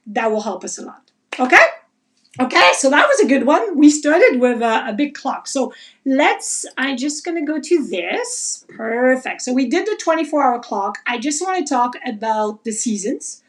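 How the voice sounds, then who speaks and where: A woman with a fairly high voice teaches a live online class on Chinese medicine. She talks casually and with energy, chatting to her viewers and reading out their comments as she goes. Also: normal speed. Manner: lively, animated, reads viewer comments aloud. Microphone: livestream.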